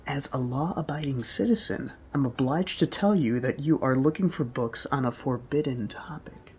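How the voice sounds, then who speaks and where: An actor playing a prim, law-abiding, wary bookstore clerk in an audio drama, formal and officious. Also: male voice, mid-range voice, normal speed, slightly rough. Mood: polite, wary.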